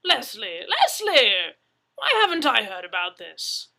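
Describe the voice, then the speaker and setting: A woman plays a hearty English gentleman from a storybook, loud and forceful. He blusters and bumbles, easily flustered and excitable. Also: on the high side, a little slow, vocal fry, full character voice. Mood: shocked.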